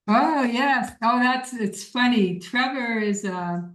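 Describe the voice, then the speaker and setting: Slow-paced woman in an echoey room. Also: a little high, a bit bright. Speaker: workshop leader. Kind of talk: informal online workshop question-and-answer. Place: indoor room on a video call.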